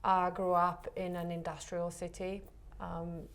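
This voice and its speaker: A woman speaks in a fairly low, level voice that barely rises or falls. She talks softly and hesitantly, pausing often and filling gaps with small sounds, as a guest in a relaxed interview. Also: slight background noise. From Czech Republic. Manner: soft, hesitant, many pauses and fillers. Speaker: photographer guest.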